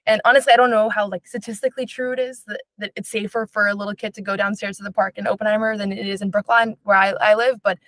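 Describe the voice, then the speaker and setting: A young woman from Israel talks about basketball at a fast clip, answering interview questions. Her speech is loose and chatty, dotted with "um" and "like." The recording is dry and close, with no room sound. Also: medium pitch, little pitch movement, fairly bright tone, no echo. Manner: fast, chatty, lots of fillers like "um" and "like". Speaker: basketball reporter.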